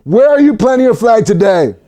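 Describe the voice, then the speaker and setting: A man from Mexico preaching a sermon for an online service, animated and rising to shouts at his peaks. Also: high-pitched, fairly quick. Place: home recording for a virtual service.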